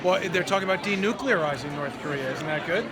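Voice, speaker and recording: A man's voice: high voice, lively intonation, quick pace, very noisy. A man doing street interviews for an online channel asks pointed, leading questions. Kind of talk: vox-pop interview. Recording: loud crowd around.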